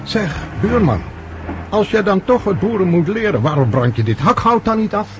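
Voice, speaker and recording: A man's voice: high-pitched, expressive, moderate pace, darkish tone, highs cut off. A man acting in a Dutch radio play as a simple, good-natured farming neighbour, chatty and folksy, repeating himself hesitantly. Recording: radio play with faint background noise.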